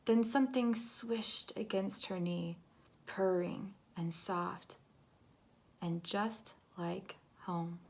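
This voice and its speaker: A woman reading a picture book aloud to children in an expressive, dramatic way, moving between hush and big swells. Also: medium pitch, slow pace. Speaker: storybook reader.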